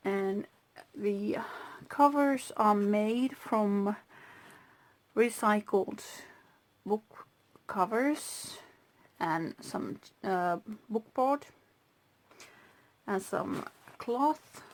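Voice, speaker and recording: A Finnish-native woman hosting her own craft channel, talking through a handmade journal slowly, with pauses and soft whispered asides. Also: medium pitch. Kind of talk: casual crafting flip-through.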